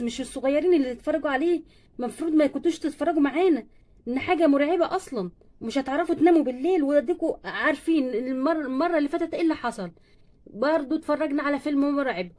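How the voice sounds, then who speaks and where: A woman's voice: sits high, darkish tone, natural voice. A woman voicing a bossy schoolgirl older sister in doll play, chattering and scolding with an Egyptian accent.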